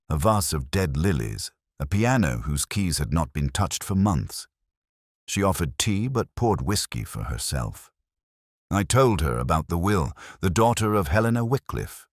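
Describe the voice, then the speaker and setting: Very deep, brooding detective voiced by a man. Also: no echo, clean studio sound, heavily acted. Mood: brooding, wistful. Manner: solemn, measured, literary. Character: melancholy, brooding male English police inspector narrating his case. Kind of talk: scripted first-person radio drama narration.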